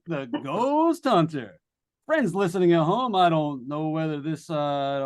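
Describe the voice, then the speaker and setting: An actor playing a breezy, joking male radio host on a ghost hunt, chatty and folksy in old-time radio drama style. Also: high voice. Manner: chatty, folksy showman delivery. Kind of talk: 1940s live radio drama acting.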